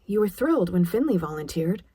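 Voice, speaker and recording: A woman voicing an eager young woman, slightly husky. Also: lowish voice, big pitch swings, a little fast, dark tone, no room sound. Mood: defensive. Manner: earnest, insistent. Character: eager, defiant young woman, idealistic new army nurse.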